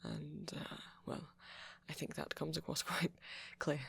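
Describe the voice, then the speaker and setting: A man playing a shy, apologetic young vampire in an ASMR roleplay, with a posh British accent and a hesitant, stammering, soft-spoken way of talking. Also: a little high, huge pitch swings, unhurried, vocal fry, slightly rough, exaggerated voice. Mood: uneasy, reticent. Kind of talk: intimate ASMR roleplay audio.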